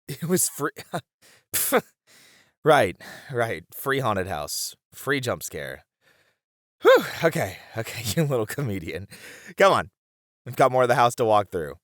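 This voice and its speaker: Man acting a playful, protective boyfriend, very animated. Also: mid-range voice, no room sound, studio quality, exaggerated voice. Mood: teasing, amused. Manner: teasing, chuckling, conversational reassurance to one listener. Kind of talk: ASMR boyfriend roleplay acting.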